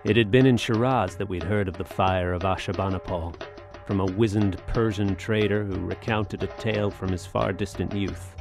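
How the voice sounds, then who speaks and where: An actor playing a wry, world-weary soldier of fortune, telling his adventure in an audio drama with measured, literary delivery. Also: sits low, fairly even intonation, creaky. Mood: mysterious narration. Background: music.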